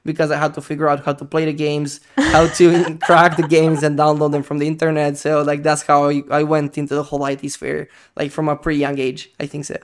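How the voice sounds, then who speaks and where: A man's voice: fairly high pitch, monotone, brisk, few pauses, clean tone. A young man with a Serbian accent, a podcast guest chatting casually in a studio on a sofa, talking with many fillers and restarts into a close mic. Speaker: interview guest. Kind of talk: casual conversation. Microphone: handheld dynamic mic on a boom arm.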